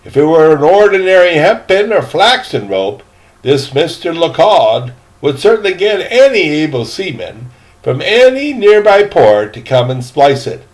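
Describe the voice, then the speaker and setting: A man voicing a roly-poly seaman in a storybook, a patient and pedantic rope expert who lectures with deliberate emphasis. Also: a little high, big pitch swings, rough recording. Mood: matter-of-fact, precise. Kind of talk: acted storybook dialogue.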